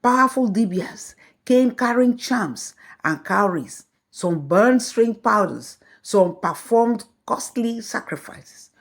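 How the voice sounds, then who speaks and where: A woman telling a folktale as scripted storybook narration, in a slow, measured way. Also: a little high, lively intonation.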